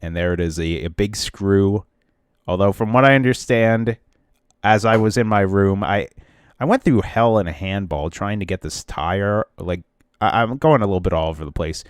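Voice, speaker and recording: A man's voice: fairly low pitch, normal speed, smooth, no room sound, clean studio sound. A man with a stuffy, congested voice, co-hosting a casual podcast and telling a long, rambling story full of restarts. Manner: rambling storyteller who often restarts and trails off. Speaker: podcast co-host.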